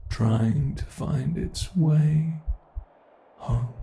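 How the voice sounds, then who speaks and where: A man's voice: on the high side, gravelly, dark tone, a little hiss or hum, heavily acted. A man with a British accent playing a mocking male monster who hunts a human, in an ASMR horror roleplay. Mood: teasing. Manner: mocking, drawn-out coaxing, impatient. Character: teasing predatory male monster hunting a human.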